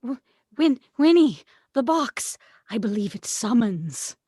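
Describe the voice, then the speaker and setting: A woman's voice: on the high side, sing-song, takes it slowly, warm tone, slightly rough, dry recording, full character voice. A woman playing a meek, overlooked witch sister, hesitant and deferential, in a script table read. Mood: timid.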